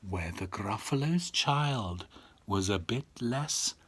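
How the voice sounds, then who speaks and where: A man's voice: lowish voice, lively intonation, slow pace, a bit bright, slightly rough. A man reading a children's storybook aloud as a father would, with dramatic, suspenseful, rhythmic delivery.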